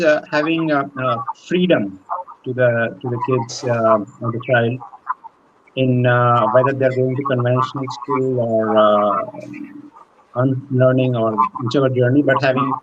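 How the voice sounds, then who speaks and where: A man answering informally as a panelist, haltingly searching for his words. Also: medium pitch. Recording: dull, noisy online call with clicks.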